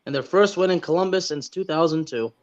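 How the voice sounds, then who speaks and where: Higher-voiced male co-host, slightly muffled. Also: fairly quick. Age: late 20s to early 30s. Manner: blunt, repeats himself for emphasis. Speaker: sports podcast co-host. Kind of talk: casual sports chat.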